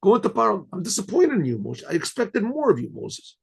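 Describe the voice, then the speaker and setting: An older man, a rabbi, giving a questioning sermon-style lecture, with restarts, rhetorical asides and frequent throat clearing. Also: high-pitched, wildly swooping pitch, fast, a little mellow. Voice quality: husky, clears throat often. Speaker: rabbi teaching.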